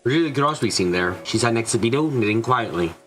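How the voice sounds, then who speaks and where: A man narrates a visual novel in a medium-pitched voice. He reads the scripted prose as storyteller, with soft music faintly behind him. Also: lively intonation, quick pace.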